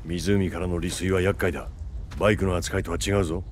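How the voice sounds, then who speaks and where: An actor playing a gruff, guarded soldier in a video game cutscene, speaking in a terse, stoic, brooding manner. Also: male voice, sits low, fairly even intonation, brisk, a little hiss or hum, natural voice. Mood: businesslike, wary. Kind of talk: dramatic game cutscene acting.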